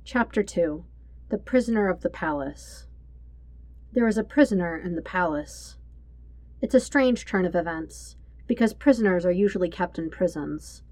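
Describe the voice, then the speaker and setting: Low-voiced woman narrating a story. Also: animated, moderate pace, a little mellow, no room sound. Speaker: fan fiction narrator.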